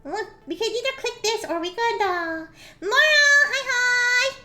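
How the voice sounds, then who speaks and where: A woman streamer with a pitch-raised squeaky voice filter, casually chatting and reading a story aloud, often clearing a scratchy throat. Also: expressive, unhurried, loud music behind the voice. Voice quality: squeaky voice from a slime avatar filter. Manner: giggly, reads story text aloud with voiced quotes.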